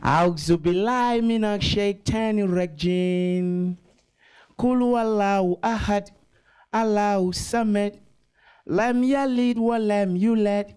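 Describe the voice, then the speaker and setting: A man's voice: high voice, a little mellow, clean tone. A man reciting scripture in a practised, prayerful style as a participant at a gathering.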